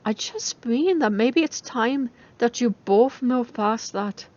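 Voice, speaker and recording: A woman voicing a caring, brightly cheerful young female student in a dramatic story reading, exclamatory and encouraging. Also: fairly high pitch, expressive, slow pace, dull audio, pitched above the performer's own voice. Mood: gentle, reassuring.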